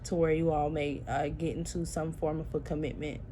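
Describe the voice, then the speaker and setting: A woman's voice: sits low, little pitch movement, a little fast, dark tone, slight background noise. A tired, sleepy-sounding woman giving a chatty tarot reading and talking straight to her viewers, with plenty of fillers. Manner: chatty, addresses viewers as y'all, many fillers. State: sleepy, tired early morning. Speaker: tarot reader.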